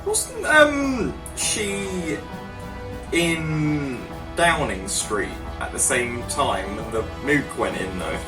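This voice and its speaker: A man chats casually as he reacts on video. His mid-pitched voice rises and falls in a lively way. He sounds set back from the microphone, with music clearly playing behind him. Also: takes it slowly, roomy sound, recorded from a distance, rough recording.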